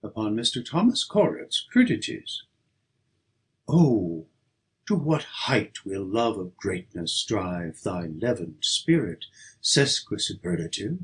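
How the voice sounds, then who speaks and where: Husky man reciting poetry with dramatic swings. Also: lowish voice, big pitch swings, slow, fairly bright tone. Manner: declamatory, theatrical. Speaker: audiobook reader.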